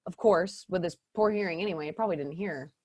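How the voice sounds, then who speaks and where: A woman narrating a fairy tale in a stage play, lively and expressive. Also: lowish voice, fast talker.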